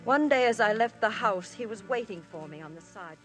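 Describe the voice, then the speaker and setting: An actress playing a young married woman who recounts her story to the police, earnest and quietly desperate, in 1950s radio drama style. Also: medium pitch, expressive, fast talker, soft background music, lightly acted. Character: married woman, young adult, anxious and confessing. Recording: old radio broadcast, faint hiss.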